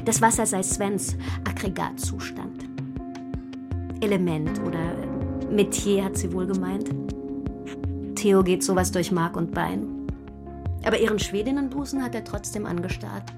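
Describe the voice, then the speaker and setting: An actress plays a sardonic young woman in a radio drama, confiding in her diary. She is cool and ambitious, with a mid-range voice. Music plays clearly behind her. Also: mid-range voice, a little slow, long pauses, close to an everyday voice. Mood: mocking, cool. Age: around 30. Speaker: soap actress learning to dive. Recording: music under the voice.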